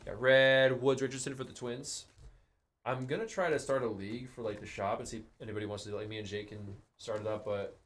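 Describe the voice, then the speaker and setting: A man's voice: mid-range voice, fast. A young man hosting a live card break in a studio, calling out the cards he pulls in a casual, chatty way. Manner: casual, calls out cards, excited on big hits.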